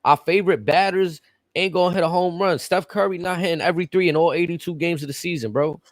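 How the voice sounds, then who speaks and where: A man's voice: sits high, steady tone, quick pace, no echo, clean studio sound. A man in casual podcast chat, blunt and profane, arguing his points. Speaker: podcast co-host.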